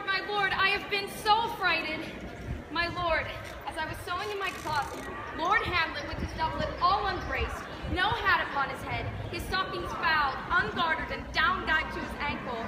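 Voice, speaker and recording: A woman's voice: extremely high pitch, moderate pace, over a noisy crowd. A young woman performing a frightened noblewoman in an urgent, projected Shakespearean stage monologue. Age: teenager. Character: frightened young noblewoman reporting to her father. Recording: very noisy echoey courtyard, distant mic.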